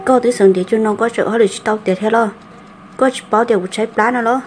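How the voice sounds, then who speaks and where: A woman's voice: on the high side, fairly even intonation, fast talker, a little hiss or hum, natural voice. A woman playing a female character in a folk-tale cartoon about a family. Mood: conversational.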